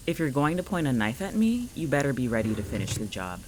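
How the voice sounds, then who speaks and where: An actor plays a guarded, irritable loner with a curt, exasperated edge. The sarcasm is dry but the pitch moves around a lot. Light ambient noise sits behind this audio drama voice. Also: very animated, fast talker, lightly acted. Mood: curt, sarcastic. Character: irritable, guarded, sarcastic homebody, snapping back. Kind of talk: fiction podcast acting.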